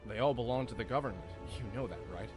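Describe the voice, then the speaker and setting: A man's voice: medium pitch, quick pace, music in the background, natural voice. A man playing a wry, easygoing detective in a visual novel, with a teasing, candid manner. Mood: sympathetic. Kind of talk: visual novel character voice read-aloud.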